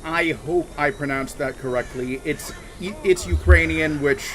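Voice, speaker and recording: A man chatting casually on a game livestream, talking in a halting, rambling way with many restarts and fillers. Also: on the high side, a bit bright, low-quality recording. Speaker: streamer. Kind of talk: casual livestream chat while gaming.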